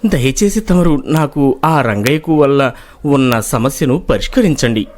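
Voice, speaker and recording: A man's voice: mid-range voice, expressive, a little slow, a little mellow, lightly acted. A man voicing an honest, troubled village farmer in a moral story, humble and plaintive. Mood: aggrieved, pleading. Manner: plaintive, respectful. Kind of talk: moral-story character acting.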